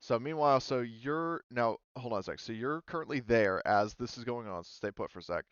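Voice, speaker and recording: A man's voice: mid-range voice, expressive, a little fast, clear, resonant tone, no room sound, muffled recording. A man running a tabletop game as game master, rambling along with lots of fillers and self-corrections.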